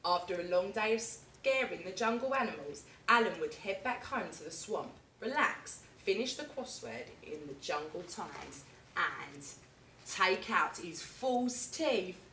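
A young woman teacher reading a picture book aloud with lively, expressive storytelling, in a classroom reading corner recorded from a distance. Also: on the low side, a little slow, a little hiss or hum. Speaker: primary school teacher. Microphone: camera at a distance. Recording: echoey room, mic far off.

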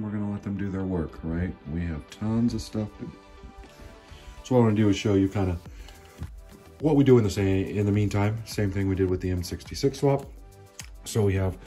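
A man hosting a casual workshop walkthrough, talking in a relaxed, explanatory way with plenty of fillers. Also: low voice. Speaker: car mechanic and channel host.

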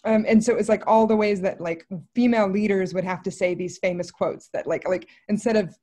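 A woman's voice: medium pitch, brisk. A woman in her thirties, a film director, answering casually on a video call with rambling asides. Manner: rambling, animated phrasing with many asides. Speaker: film writer and director, interview guest. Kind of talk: casual, thinking-aloud interview answers. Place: indoor room on a video call.

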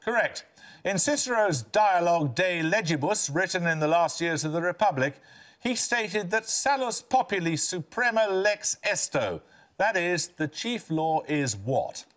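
Older male quiz host, brisk and commanding, voice rising and falling widely, slightly high. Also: lively intonation, fairly bright tone. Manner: crisp, clipped, authoritative delivery. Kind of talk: quiz show hosting. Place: television studio.

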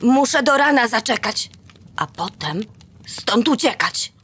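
A woman's voice: a little high, expressive, unhurried. A woman voicing a small, homesick young male elf in a rhyming children's radio play, fretful and tearful. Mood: grumbling. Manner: fretful, whiny rhyming complaints.

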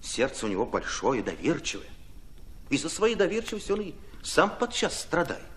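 Earnest, ardent commissar, animated. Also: male voice, fairly high pitch. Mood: earnest admiration. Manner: impassioned, deliberate, rhetorical phrasing. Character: earnest, ardent Red Army commissar reporting to commander. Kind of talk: radio drama acting.

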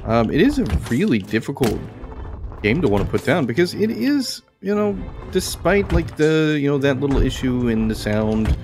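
A man's voice: medium pitch, very animated, normal speed. A man giving casual video game commentary, rambling and thinking aloud as he plays. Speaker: gaming video host. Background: game music.

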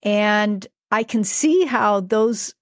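Woman podcast host, mid-pitched, articulate, dry recording. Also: drawn-out words, no echo. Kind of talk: interview host questions.

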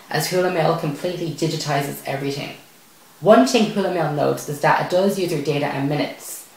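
A man's voice: on the high side, creaky. A young man presenting a scripted tech tutorial to camera. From Ireland. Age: young adult. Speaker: tech video host. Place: small bare indoor room at a desk. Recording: very echoey bare room.

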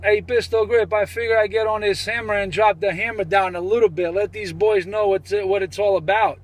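A man's voice: sits high, steady tone, brisk, low-quality recording. A man bantering boastfully as a CB radio operator, repeating his catchphrases.

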